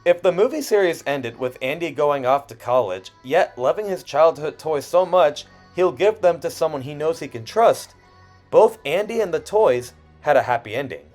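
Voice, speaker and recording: A man narrating a personal video essay in an expressive way, with self-deprecating asides and dramatic pauses. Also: a little high. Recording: music under the voice. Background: background music.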